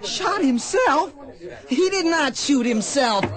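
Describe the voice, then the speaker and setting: A man's voice: extremely high pitch, animated, takes it slowly, over music, exaggerated voice. An actor playing an earnest, plain-spoken blind young man in a western radio drama. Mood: indignant. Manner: plain-spoken, polite, emotional. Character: earnest blind youth of the Old West, grieving son.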